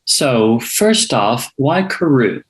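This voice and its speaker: A man acting a young hipster reporter with a mid-pitched voice. He is skeptical and pushy, talking conversationally as in a drama table read. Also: animated, extremely slow. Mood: curious, probing. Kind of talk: conversational script reading.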